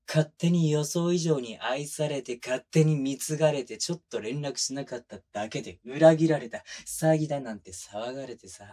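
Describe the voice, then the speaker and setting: A man's voice: a little high, dark tone, natural voice. A man playing a sadistic detective, one of twins, taunting a suspect in a drawling, needling way in a close-miked ASMR voice drama. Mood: mock sympathy. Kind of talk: Japanese situation voice drama, binaural ASMR. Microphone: close binaural microphone.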